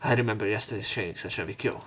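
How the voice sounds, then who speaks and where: A man's voice: fairly low pitch, rapid-fire, a bit bright, soft background music. A man hosting a let's play, reading visual novel narration aloud in a dramatic way, with commentary. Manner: acts out lines and exclamations.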